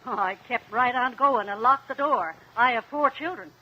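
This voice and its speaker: A woman's voice: a little high, moderate pace, slightly rough, lo-fi sound. An American woman playing a plainspoken neighbor housewife who gives curt, emphatic testimony in a mid-century radio drama. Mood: insistent, curt. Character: blunt, plainspoken neighbor housewife and mother on the stand.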